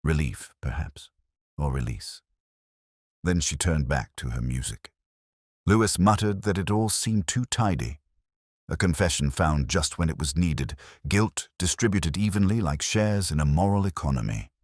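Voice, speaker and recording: A man giving scripted first-person detective narration in a measured, reflective, solemn manner. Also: rumbling low, animated, darkish tone, dry recording, clean studio sound.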